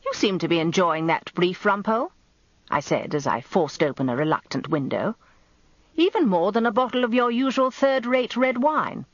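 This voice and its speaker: An actress playing a sharp-tongued, merciless older wife in a radio drama, British, with a dry, imperious manner. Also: female voice, medium pitch, expressive, moderate pace, dry recording, highs cut off, lightly acted. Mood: withering. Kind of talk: radio drama first-person narration and dialogue.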